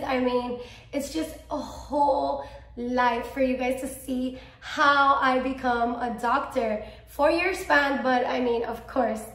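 A woman's voice: fairly high pitch, roomy sound. A woman of twenty-four from Miami with a Cuban-American accent, talking to camera in a casual, enthusiastic vlog from a small room at home. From Miami, Florida. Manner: bubbly, enthusiastic, gestures a lot. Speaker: vlogger, medical student.

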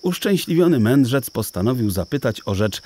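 A man narrating a children's audiobook in a theatrical, deliberate storytelling style. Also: medium pitch, big pitch swings, warm tone.

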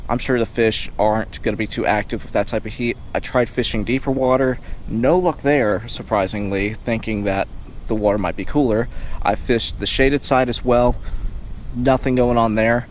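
A young man talks easily about his fishing day, recorded outdoors on a small action camera on a kayak. Water and boat noise sit clearly behind his voice. His pitch is in a typical male range. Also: moderate pace. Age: young adult. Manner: relaxed, chatty commentary while fishing. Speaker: fishing vlogger. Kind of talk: casual outdoor vlog narration. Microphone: action camera mounted on the kayak.

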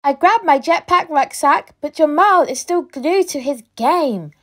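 A woman reading a children's picture book aloud with expressive, dramatic storytelling delivery. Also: high voice, measured pace. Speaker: storybook reader.

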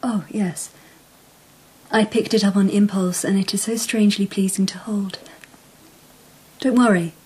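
An imperious Empress of old Japan in a radio play. Her voice is breathy and medium in pitch. She speaks in a measured, commanding, coolly poised way. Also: steady tone, darkish tone, poor audio. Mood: regal, commanding. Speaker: actress in a radio drama. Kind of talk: radio drama acting.

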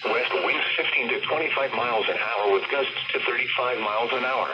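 Very noisy radio playback carries a man's synthetic voice at a medium pitch. He reads a weather bulletin formally, and the small speaker makes him sound dull. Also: fairly quick. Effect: heard through a weather radio speaker. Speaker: automated weather radio announcer. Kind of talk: scripted weather forecast bulletin.

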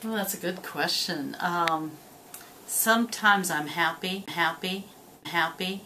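Bright-voiced middle-aged woman. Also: lowish voice, takes it slowly, a little hiss or hum. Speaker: college ESL teacher. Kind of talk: casual interview answers. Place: small office indoors. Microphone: camera a few feet away.

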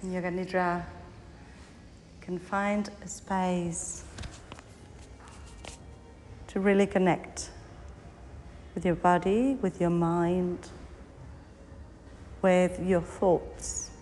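A woman teaching yoga nidra, guiding a meditation in short phrases with pauses between them. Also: fairly low pitch, level tone, a little mellow. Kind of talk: guided meditation, body scan narration. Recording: long hall reverb, faint background noise.

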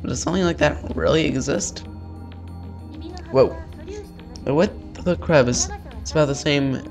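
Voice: mid-range voice, moderate pace, soft background music. A let's player reading visual novel text aloud in an informal way, stumbling over words and dropping in casual asides.

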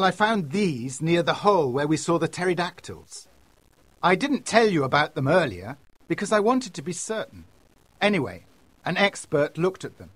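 Man playing a higher-voiced gentleman adventurer. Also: slightly rough, dry recording, full character voice. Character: confident male aristocrat adventurer, genial and brisk. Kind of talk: audiobook character voice.

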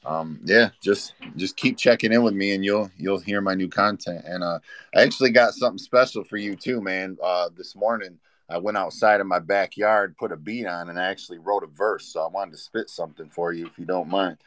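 Male rapper being interviewed. Fairly deep voice, informal and slangy, conversational. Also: brisk, fairly bright tone, no echo. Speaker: rapper guest. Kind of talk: casual interview chat. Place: live online audio room, voice only.

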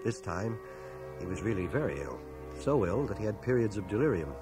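A man looking back on his small-town youth in a radio play. The voice is lowish, with expressive, widely moving pitch and measured storytelling. Prominent music sits beneath it on an old cassette copy. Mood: reflective, tense. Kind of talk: dramatic first-person radio narration. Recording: home cassette transfer, music underneath.

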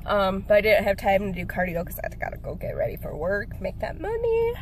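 A woman's voice: medium pitch, huge pitch swings, brisk, slight background noise. A young woman in her twenties with a stuffed-up, cold-sounding voice, rambling casually with plenty of fillers as she films herself at arm's length in her car. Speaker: fitness vlogger. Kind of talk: casual vlog chat. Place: parked car. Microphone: phone camera at arm's length.

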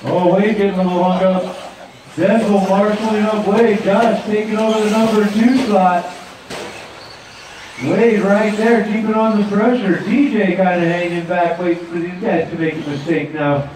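A man's voice: high-pitched, moderate pace, very echoey, distant mic, a little hiss or hum, low-quality recording. A man giving live play-by-play commentary at an outdoor dirt track. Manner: steady play-by-play calling. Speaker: race announcer.

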